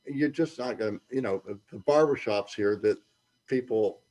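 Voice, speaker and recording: A man in his eighties, host of a newsletter, chatting casually in a rambling way with restarts and emphatic outbursts, getting over an illness and clearing his throat. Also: mid-range voice, normal speed, mellow. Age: 80s. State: recovering from covid, clears throat. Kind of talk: casual chat. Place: Mexico's Baja coast.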